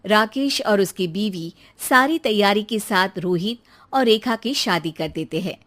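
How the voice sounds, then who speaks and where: A woman's voice: mid-range voice, animated, unhurried, fairly bright tone. A woman storyteller reading scripted narration for a moral story.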